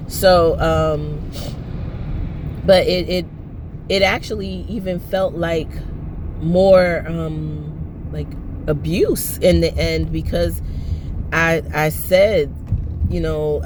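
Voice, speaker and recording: A woman in her thirties vlogging from her parked car, her voice hoarse and lowered from allergies, rambling with many fillers and restarts. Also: background noise. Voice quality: lowered, husky voice. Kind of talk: casual personal vlog. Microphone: phone on the dashboard.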